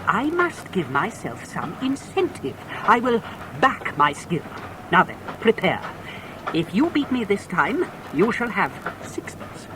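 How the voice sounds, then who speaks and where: A man's voice: sits high, big pitch swings, a little slow, noisy background. An actor playing a scheming, falsely jovial clergyman in an audio drama, pompous and chuckling, given to tagging remarks with "what?". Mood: jovial, wheedling.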